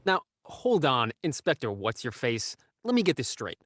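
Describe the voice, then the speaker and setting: An actor playing a surly, uncooperative gardener in a radio murder mystery, gruff and combative with colloquial speech. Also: male voice, mid-range voice, big pitch swings, moderate pace, a bit bright. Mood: indignant.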